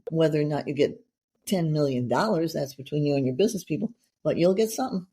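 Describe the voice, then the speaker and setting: Chatty woman host, rambles and gushes, low mellow husky voice. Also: animated, fast. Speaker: podcast host. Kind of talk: casual interview chat.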